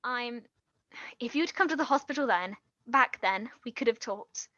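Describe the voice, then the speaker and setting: An actress playing an earnest, guilt-ridden young woman, halting and pleading, in a naturalistic stage drama. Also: on the high side, animated, pushed and bright, dry recording, lo-fi sound. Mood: pleading, hurt.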